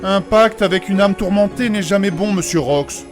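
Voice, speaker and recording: A man's voice: sits high, moderate pace, over music, lightly acted. A man playing a courteous, solemn nobleman with old-fashioned formal diction in a fantasy audio drama. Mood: solemn explaining. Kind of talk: French fantasy comedy audio drama acting.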